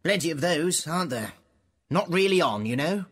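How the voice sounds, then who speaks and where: A condescending British establishment interrogator, as a male actor plays him in a radio drama. The voice is fairly high and husky, full of swoops and insinuation. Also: expressive, normal speed, fairly bright tone. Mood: apologetic, patronising. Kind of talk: theatrical radio drama dialogue.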